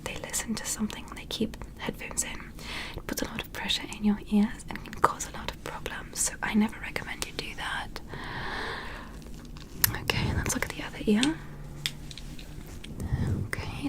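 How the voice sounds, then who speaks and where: Woman doing a curious gentle alien doctor, asmr roleplay, breathy whispering, kinda high voice, careful soothing instructions. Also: lightly acted, rough recording. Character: gentle, curious alien medical examiner studying a human. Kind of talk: ASMR medical sci-fi roleplay.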